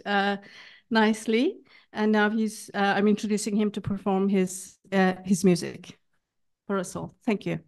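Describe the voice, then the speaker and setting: A woman's voice: medium pitch, a little mellow. Hesitant Kurdish woman introducing a musician. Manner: hesitant, with frequent fillers and restarts. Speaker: event speaker introducing a musician. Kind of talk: informal spoken introduction at a literary event. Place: live public event.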